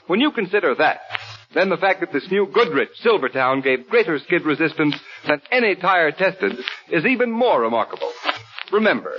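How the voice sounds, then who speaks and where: A man's voice: fairly high pitch, slight background noise. A male announcer reading a sponsor's advertisement in an emphatic, declamatory 1930s radio style. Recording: dull 1930s radio recording.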